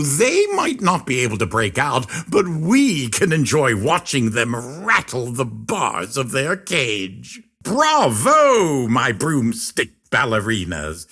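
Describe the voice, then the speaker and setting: Grandiose circus ringmaster villain, wildly swooping. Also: male voice, medium pitch, pushed and bright, slightly rough, exaggerated voice. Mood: mocking, gloating, then gloating praise. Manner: pompous, florid showman with drawn-out dramatic emphasis. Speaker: video game villain and overseer. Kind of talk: theatrical scripted game voice lines.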